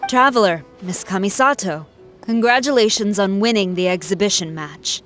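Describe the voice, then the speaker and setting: A woman playing a stern, dutiful military officer in a video game, speaking in a formal, measured way. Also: mid-range voice, a bit bright, faint music behind, lightly acted. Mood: formal, respectful.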